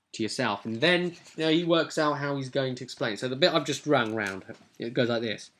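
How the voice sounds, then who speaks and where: Game master, young man, British, bright voice, casual tabletop session recap. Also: mid-range voice. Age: young adult. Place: small cluttered room at home.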